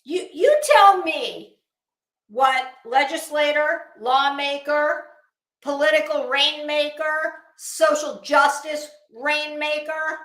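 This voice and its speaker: A woman's voice: sits high, slow, a bit bright. A woman livestream host on a casual rant to her chat, blunt and coarse, with an emphatic, sarcastic, challenging delivery. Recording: echoey room.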